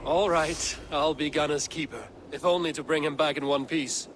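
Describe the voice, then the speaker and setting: A man playing a dry-witted, steady Viking chieftain in video game dialogue. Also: a little high, moderate pace, slight background noise, lightly acted. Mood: wry, friendly.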